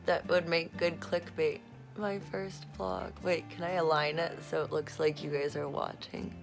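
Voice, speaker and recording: A woman vlogging at home on her phone, her voice hoarse and rough, chatting in a meandering way with lots of fillers and casual swearing. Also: sits low, little pitch movement, a little slow, over music. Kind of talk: casual rambling vlog chat. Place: at home, in a room being turned into an office. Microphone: phone camera recording herself.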